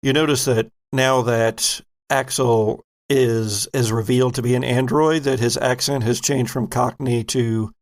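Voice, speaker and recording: A man narrating a tabletop game as game master, in an unhurried, descriptive way. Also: mid-range voice, steady tone.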